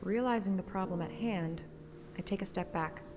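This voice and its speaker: A woman's voice: mid-range voice, normal speed, long reverb tail, music in the background. A woman narrating a fantasy romance game story in an audiobook style. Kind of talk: audiobook narration with acted dialogue.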